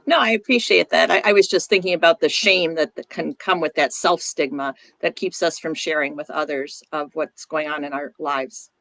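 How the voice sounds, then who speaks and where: A woman guest speaks earnestly in a live online discussion. Her voice is lower than most women's. The recording is dry, with no room sound. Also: moderate pace, gravelly, hardly any pauses, a bit bright, no room sound. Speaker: guest expert. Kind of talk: conversational contribution.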